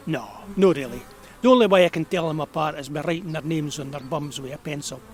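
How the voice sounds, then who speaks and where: Man acting a grieving old Scottish beekeeper, high-pitched. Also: fast, a little hiss or hum, lightly acted. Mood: wry, fond. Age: older. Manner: musing, rambling, dialect-rich. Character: frail, grieving old Scottish beekeeper, gruff but whimsical. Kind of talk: audio drama dialogue.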